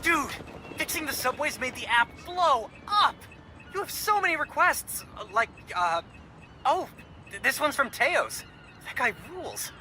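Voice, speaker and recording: An actor playing an excitable teenage boy, a tech-savvy best friend in a video game, rambling with lots of fillers. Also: extremely high pitch, measured pace, noisy background, full character voice. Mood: excited. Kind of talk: video game cutscene acting.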